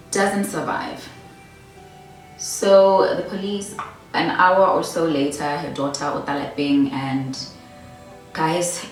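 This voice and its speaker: A woman's voice: low voice, very echoey, far from the mic, poor audio. A young woman with a Botswana accent, casually chatting and storytelling while doing her makeup, full of "like" and "my girl". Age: young adult. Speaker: beauty vlogger. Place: small bedroom indoors.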